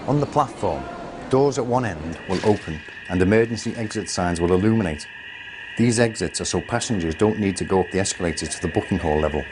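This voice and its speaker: A man acts as an experienced station supervisor in a staff training film, speaking in a low voice. He instructs a new recruit calmly and methodically, in a scripted way. Loud ambient noise of an urban site sits behind him. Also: fairly quick. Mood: instructive. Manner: methodical, checks understanding.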